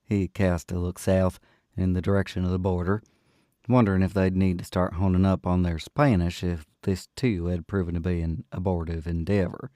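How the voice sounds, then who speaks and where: A man's voice: low voice, little pitch movement, normal speed, mellow, no echo, studio quality. A man who works as an audiobook narrator and panel host, speaking expressively.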